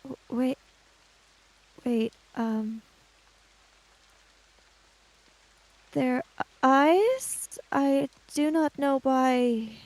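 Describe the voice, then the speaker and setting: A woman's voice: sits high, extremely slow, a little mellow, pitched above the performer's own voice, heavily acted, low-quality recording. A woman voicing a polite, hesitant young female investigator in a tabletop game, with careful, formal phrasing. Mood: uneasy.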